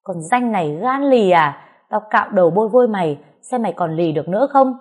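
A woman's voice: mid-range voice, lively intonation, fairly quick, a little mellow, lightly acted. A woman narrator voicing a burly, thuggish female bully in a story, taunting and threatening with barked questions. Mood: enraged, threatening.

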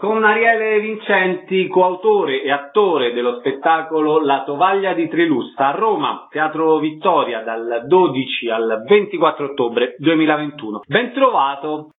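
A male radio presenter conducting an interview. His voice sits a little high for a man, and the sound is thin and band-limited like a phone line. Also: lively intonation, a little slow, few pauses.

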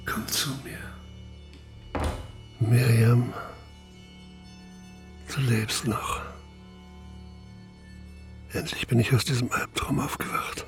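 An actor playing a grieving, troubled middle-aged father in a naturalistic radio drama, speaking in a halting, subdued way. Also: mid-range voice, fairly even intonation, vocal fry, faint music behind. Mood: tender relief.

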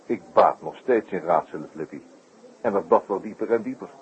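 An actor playing a sharp, laconic, teasing detective in a 1960s radio drama, hesitating and repeating himself wryly. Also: male voice, low voice, a little fast, natural voice. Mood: wry, probing. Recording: dull, noisy 1960s radio recording.

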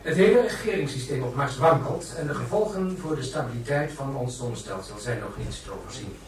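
News reporter for radio play, male actor: fluent commentary, mid pitch, roomy and distant. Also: animated, fairly quick, slight background noise, close to an everyday voice. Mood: excited reporting. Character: live TV news reporter on Mars, brisk commentator. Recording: echoey, distant, loud music behind.

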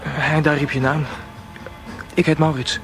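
A man's voice: a little high, level tone, measured pace, music in the background. An actor in a radio play, playing a shy, lovesick, brooding young man who speaks hesitantly and earnestly. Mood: awkward, sympathetic.

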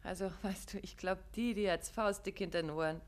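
An actress as a detective's wife in a 1950s radio play, with a voice of medium pitch. She is affectionate, shrewd and curious. Her talk is conversational, always gently probing. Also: brisk, lightly acted.

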